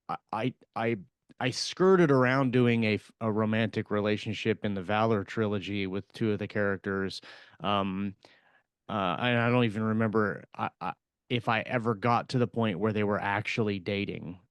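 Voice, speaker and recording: Low, smooth-voiced man, halting. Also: dry recording. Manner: halting, many fillers and restarts. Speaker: podcast co-host, author. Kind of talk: casual chat.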